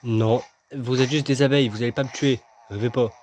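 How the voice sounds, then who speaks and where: A man's voice: mid-range voice, steady tone, brisk, a little mellow, a little hiss or hum. A man casually commenting as he plays a video game, joking and chatting with himself and his viewers. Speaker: gaming video host. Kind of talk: casual let's-play commentary.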